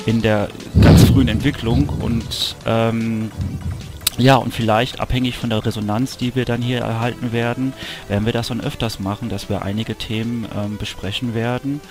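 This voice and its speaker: A gravelly-voiced man in his thirties hosting a casual podcast over an online call, halting as he searches for words. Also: mid-range voice, fairly even intonation, over music. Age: 30s. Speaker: podcast host. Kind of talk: casual podcast talk, unscripted.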